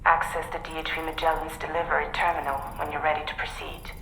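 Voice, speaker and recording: A woman's voice: deep voice, flat delivery, fast, sharp tone, slight background noise. A woman playing a composed, efficient crew coordinator in a game, polite and procedural. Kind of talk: game mission dialogue. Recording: echoey, faint music behind.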